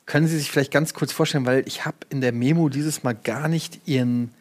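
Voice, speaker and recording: A man's voice: medium pitch, steady tone, a little fast. A man hosting a mock interview, asking polite questions with a straight face.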